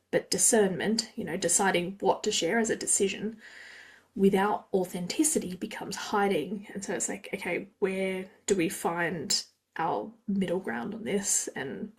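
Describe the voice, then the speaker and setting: A woman's voice: medium pitch, normal speed, breathy edge. A woman from Australia hosting a podcast, talking through her thoughts alone, musing and often trailing off with fillers. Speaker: podcast host. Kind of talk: solo reflective podcast talk.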